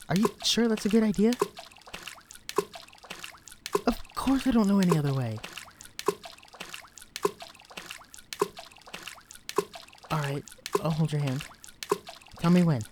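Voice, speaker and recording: A man's voice: high-pitched, noisy background. A man playing a tender, guarded shrunken man. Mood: panicked. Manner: earnest, vulnerable, softly confessional. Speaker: romantic lead in an audio roleplay. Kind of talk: scripted listener-directed audio drama.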